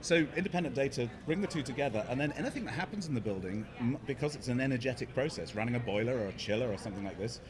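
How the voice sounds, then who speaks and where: A man's voice: medium pitch, expressive, racing, hardly any pauses. A middle-aged man with an English accent, a startup CEO explaining his work in an interview. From England. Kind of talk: conference interview. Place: busy hotel conference hall, indoors. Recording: noisy crowd in a conference hall. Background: crowd chatter.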